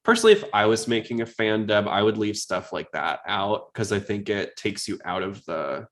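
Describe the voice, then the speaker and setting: Low-voiced man chatting on a podcast. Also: expressive, moderate pace, fairly bright tone. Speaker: podcast host. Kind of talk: casual fan podcast chat.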